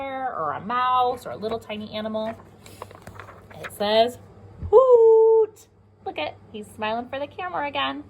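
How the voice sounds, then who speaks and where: A woman's voice: high-pitched, fairly bright tone, slight background noise, rough recording. A woman with an American accent reading a picture book aloud to young children, explaining simply and asking them questions as a pre-K teacher.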